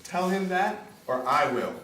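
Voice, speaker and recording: A man's voice: medium pitch, wildly swooping pitch, moderate pace. A man playing a passionate young Italian immigrant. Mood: furious. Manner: swings from tender murmurs to impassioned outbursts. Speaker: stage actor. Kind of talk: stage play performance. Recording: roomy, distant mic, faint noise.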